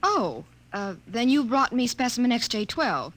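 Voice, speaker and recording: A young actress playing a nervous but dutiful genetics professor in 1950s radio drama, brisk and proper with small hesitations. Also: female voice, fairly high pitch, sing-song, heavy background noise. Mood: uneasy. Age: young adult.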